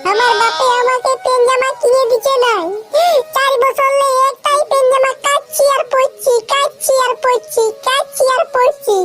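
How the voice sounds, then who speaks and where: Squeaky, whiny little village boy. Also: level tone, moderate pace, a bit bright. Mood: tearful complaining. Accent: Purulia Bengali. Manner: wailing, repetitive complaining. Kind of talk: comic cartoon dialogue. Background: music.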